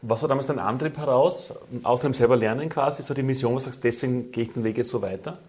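Quick-talking male interviewer. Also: mid-range voice, darkish tone. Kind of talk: casual conversational interviewing.

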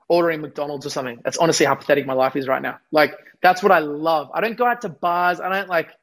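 A man's voice: fairly high pitch, rough recording. A young man, a musician chatting in an interview with a slightly worn voice, rambling and self-deprecating. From Australia. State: losing his voice. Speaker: musician guest. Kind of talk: casual interview chat.

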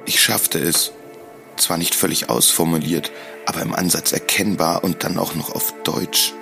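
A man narrating a scripted first-person audio drama in a solemn, deliberate storytelling manner. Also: low-pitched, fairly even intonation, gravelly, music in the background. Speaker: podcast host.

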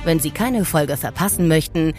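A woman's voice: deep voice, moderate pace. A woman reading scripted announcements as the announcer of a podcast. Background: music.

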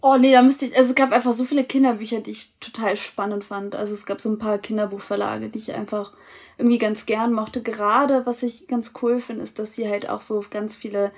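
A woman's voice: on the high side, little pitch movement, brisk, darkish tone. A young woman co-hosting a casual podcast chat, chatty and self-mocking, laughing easily. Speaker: podcast co-host, publishing staff.

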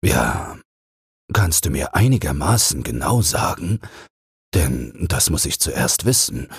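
A man voicing a friendly old man who tells fairy tales, with a kindly, musing, unhurried manner. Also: extremely low pitch, very animated, breathy edge, dry recording, clean studio sound, heavily acted.

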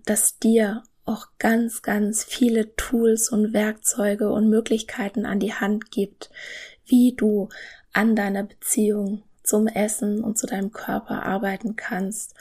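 Solo podcast host, woman in her 30s, breathy and husky tone, earnest and upbeat. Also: mid-range voice, a little mellow. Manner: earnest, enthusiastic, speaks directly to the listener.

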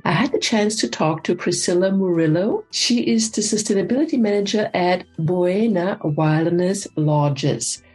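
A woman's voice: mid-range voice, a little mellow. Woman, podcast host, mid-range voice, enthusiastic, faint music underneath. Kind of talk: podcast interview.

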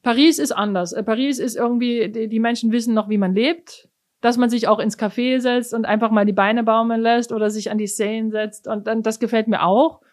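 A woman's voice: fairly high pitch, steady tone, fairly quick, a little mellow. Middle-aged German woman, thoughtful talk. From East Germany. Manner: thoughtful, with frequent fillers and restarts. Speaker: orchestra cellist, interview guest. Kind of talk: casual conversational interview.

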